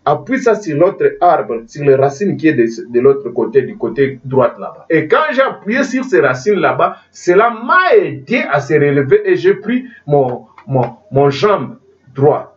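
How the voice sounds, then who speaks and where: Lively, emphatic preacher man, distant lo-fi. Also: a little high, a little slow. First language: not French. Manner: emphatic, repeats and restarts, asks listeners if they understand. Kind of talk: sermon. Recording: dull lo-fi sound from a distance.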